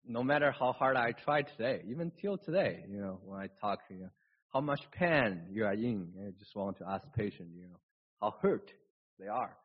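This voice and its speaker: A man with a Chinese accent, whose first language is Chinese, reading scripture and preaching a sermon in a halting way, with restarts and slips. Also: on the low side, slightly rough. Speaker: pastor. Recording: dull lo-fi recording.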